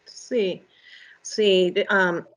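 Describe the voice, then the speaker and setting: A woman's voice: mid-range voice, fairly even intonation. A woman from New York with a Puerto Rican accent, a podcast guest, speaking reflectively and mixing in English words. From New York, United States. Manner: unhurried, reflective, mixes in English. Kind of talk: personal conversation.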